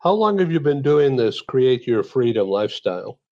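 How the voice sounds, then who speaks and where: A male talk show host speaks in a formal, measured way as he introduces his guest. The sound is very dry, with no room echo. It is also a bit dull, as if it came through a remote link. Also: mid-range voice, big pitch swings. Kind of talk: scripted introduction, interview questions. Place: San Diego.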